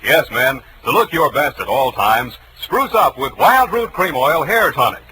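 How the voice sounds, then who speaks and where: A man's voice: mid-range voice, animated, a little slow, sharp tone, slight background noise, rough recording. A man announcing in a polished, emphatic 1940s radio commercial style on a vintage broadcast.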